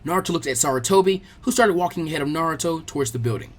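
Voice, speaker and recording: A man giving an expressive scripted narration of a fan fiction story as an audiobook. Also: fairly high pitch, very fast.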